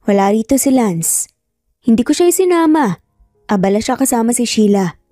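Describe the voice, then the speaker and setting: A woman's voice: a little high, big pitch swings, moderate pace, mellow, dry recording, studio quality, soft background music. A woman voicing a cruel, rich older mother in a story, stern, sarcastic and commanding. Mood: strict, curt.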